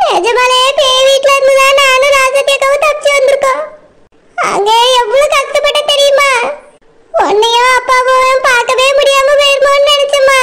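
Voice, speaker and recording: A woman's voice: very high-pitched, sharp tone, voice pushed up. A woman voicing a frightened wife who pleads tearfully, begging her husband to believe she escaped a ghost.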